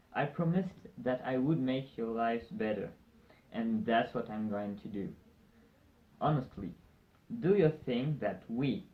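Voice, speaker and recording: A man's voice: mid-range voice, narrow, tinny audio, close to an everyday voice. A young man playing a newly elected male mayor giving an earnest victory speech.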